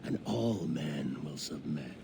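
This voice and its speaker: An actor playing a theatrical, menacing pirate captain in a video game cutscene, given to drawn-out phrases and dark whispers. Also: male voice, extremely low pitch, expressive, vocal fry, exaggerated voice. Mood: brooding, sinister. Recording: noisy game ambience behind the voice.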